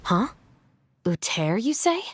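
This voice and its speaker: An actress playing a dry, confident police captain in a video game, with a measured, matter-of-fact, wry delivery. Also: female voice, mid-range voice, sing-song, a little hiss or hum. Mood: dry, explanatory. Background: game music.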